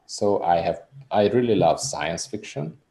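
Deep gravelly man with a Hungarian accent. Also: animated, measured pace, mellow. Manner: earnest, rambling explanations with restarts. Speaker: musician guest. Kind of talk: casual interview chat.

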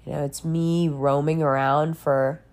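A woman's voice: sits low, flat delivery, measured pace, darkish tone. A woman giving a candid personal monologue in a blunt, emphatic way, with frequent swearing. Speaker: podcast and channel host.

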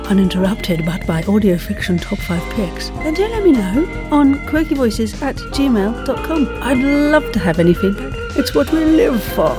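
A woman hosting a podcast, chatting casually with gushing enthusiasm. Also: mid-range voice. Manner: effusive, gushing. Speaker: podcast host. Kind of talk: enthusiastic casual interview chat.

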